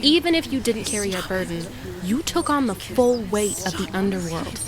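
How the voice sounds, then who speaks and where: A woman acting as a relentless soul of the dead in a radio play, speaking in a menacing, deliberate, accusing way. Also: mid-range voice, expressive, a crowd in the background, lightly acted.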